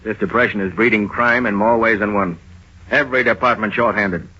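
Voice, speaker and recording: An actor playing a blunt, suspicious police captain who commands and interrogates, in a vintage radio crime drama. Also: male voice, on the low side, fairly even intonation, fairly quick, background noise, natural voice. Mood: brisk, gruff. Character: gruff, suspicious police captain grilling his men. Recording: muffled old-time radio recording.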